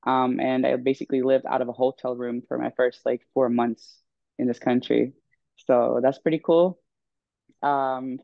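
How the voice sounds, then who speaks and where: A woman's voice: very deep voice, vocal fry, no echo, narrow, tinny audio. A woman in her twenties from Sweden, a coach speaking as a podcast guest in a candid, chatty way with many ums. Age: 20s. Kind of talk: casual interview chat.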